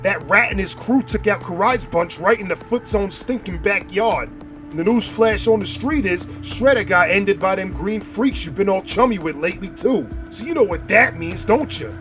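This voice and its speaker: An actor playing a boastful, belligerent drunken gangster father with rough streetwise talk in a comic-book audio drama. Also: sits high, lively intonation, moderate pace, music in the background. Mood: excited, insistent.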